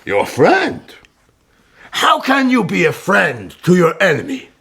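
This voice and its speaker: An actor playing a shrewd, ceremonious medieval sultan in a radio drama, speaking in a raspy, gravelly voice with a courtly, deliberate manner. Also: male voice, high-pitched, huge pitch swings, a bit bright, exaggerated voice. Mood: courteous, probing. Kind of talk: radio historical drama acting.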